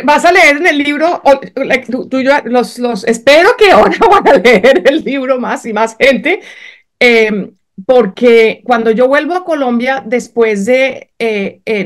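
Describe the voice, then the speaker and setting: A woman's voice: on the high side, lively intonation, a little slow. A woman whose first language is German, speaking hesitantly with many fillers and restarts as an author being interviewed. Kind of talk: conversational interview.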